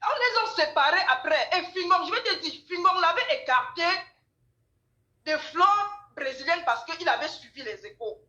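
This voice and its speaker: A high-pitched woman chats casually in an echoey room. She tells gossip in an animated way, circling back over phrases to drive them home. Her voice is bright and forceful. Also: normal speed, dull audio. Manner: animated storytelling, repeats phrases for emphasis.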